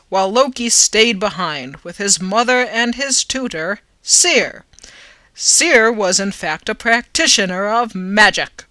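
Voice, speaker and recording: Casting: amateur storyteller for a fantasy tale, lively pitch swings, bright tone, theatrical with tongue in cheek. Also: dry recording. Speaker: amateur reader. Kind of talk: dramatic reading of a fantasy story, narrated.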